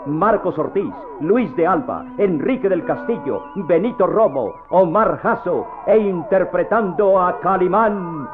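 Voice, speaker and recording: Emphatic vintage radio announcer, tinny sound, music behind. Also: male voice, animated. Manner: emphatic, booming, drawn-out. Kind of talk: dramatic radio serial introduction. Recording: tinny old radio broadcast.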